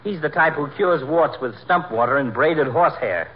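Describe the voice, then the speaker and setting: A man's voice: a little high, expressive, moderate pace, natural voice. A man playing a wry, dignified physician who teases drily in 1940s radio comedy style. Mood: dry, teasing. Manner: dry, wry, teasing. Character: wry, dignified physician trading barbs with a neighbour. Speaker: doctor. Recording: dull, noisy 1940s radio recording.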